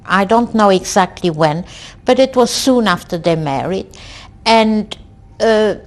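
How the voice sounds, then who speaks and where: A woman in her sixties from Belgium, recalling her family in an oral history interview, slow and reflective with frequent hesitations. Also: mid-range voice. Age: 60s. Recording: archival 1990s interview tape.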